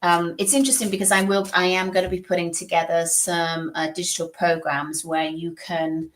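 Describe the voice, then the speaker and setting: A woman's voice: on the low side, level tone, normal speed, a little hiss or hum. A woman hosting a live-stream tarot reading, chatty and reassuring, often repeating herself. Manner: chatty, repeats phrases, reassuring spiritual talk. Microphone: streaming setup.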